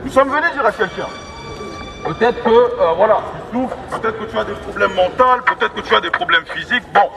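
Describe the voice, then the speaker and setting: A man preaching in the open air through a megaphone, declamatory and repetitive, with halting restarts. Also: high voice, noisy background. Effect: megaphone amplification. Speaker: street evangelist. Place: outdoors in a busy urban public space. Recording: megaphone voice outdoors, distant, echoing, street bustle. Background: street crowd and vehicles.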